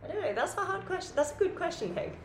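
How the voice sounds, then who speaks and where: A loud, chatty woman hosting a podcast conversation, laughing often. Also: very high-pitched, sing-song, quick pace, noisy background. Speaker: podcast host. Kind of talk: casual podcast chat. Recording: roomy echoey room.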